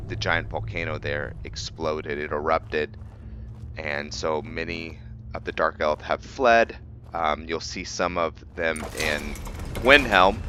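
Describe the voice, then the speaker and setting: A man giving casual roleplay gameplay commentary as a let's-play host, haltingly thinking aloud as he goes. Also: sits low, sharp tone, a little hiss or hum. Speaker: video game streamer, let's-play host.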